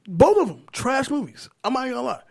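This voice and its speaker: A man's voice: extremely high pitch, sing-song, fast talker, vocal fry. A man chatting casually on a podcast panel, blunt and joking, with slang and swearing. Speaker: podcast panelist leading the discussion. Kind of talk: casual profane banter about movies.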